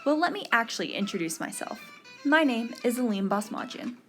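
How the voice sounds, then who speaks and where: A woman's voice: on the high side, lively intonation, brisk, over music. Young woman host reading a script. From Texas, USA. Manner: earnest, read-aloud delivery. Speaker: podcast host. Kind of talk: scripted podcast narration.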